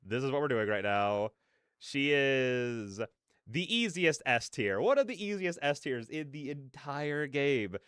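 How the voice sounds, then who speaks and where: Animated man giving casual reaction commentary. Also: medium pitch, a little slow, clean tone, no room sound, clean studio sound. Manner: effusive, repeats phrases for emphasis. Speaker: reaction video host.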